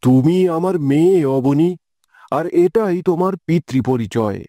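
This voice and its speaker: Man acting solemn cartoon king, mellow-voiced. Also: fairly high pitch, lively intonation, unhurried, dry recording. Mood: solemn, emotional. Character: solemn, loving royal father, a king. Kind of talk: melodramatic fairytale cartoon acting.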